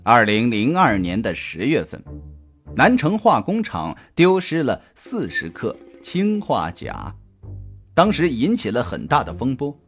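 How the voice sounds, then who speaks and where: A man reading a scripted suspense audiobook in a dramatic, deliberate, expressive storytelling manner. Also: mid-range voice. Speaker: audiobook narrator. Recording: music under the voice. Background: background music.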